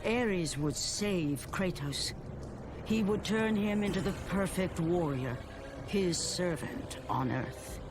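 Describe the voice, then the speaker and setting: A man's voice: high voice, expressive, slow pace. A man acting as a solemn, portentous narrator of an ancient Greek tragedy in a video game. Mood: solemn, dramatic. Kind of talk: dramatic scripted narration of a mythic tale. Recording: orchestral music behind.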